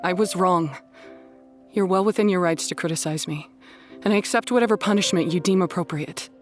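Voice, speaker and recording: An actress plays a proud, humbled beast tamer from a video game, with a fairly low female voice. She speaks formally and earnestly over soft music. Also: fairly quick, natural voice. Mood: remorseful.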